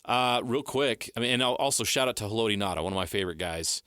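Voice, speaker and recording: A man hosting a sports radio show, talking briskly and leading the segment. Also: on the low side, animated, bright, forceful tone, clear, resonant tone, no echo, studio quality. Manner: brisk, upbeat, leads the segment.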